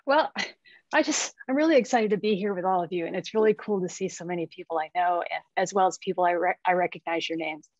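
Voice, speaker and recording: A woman's voice: fairly low pitch, fast, darkish tone, no echo. A middle-aged woman introducing her collaborator in a webinar with enthusiasm and some hesitant restarts, speaking from a home studio over a video call. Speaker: artist, co-host. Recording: dry, dull video call audio.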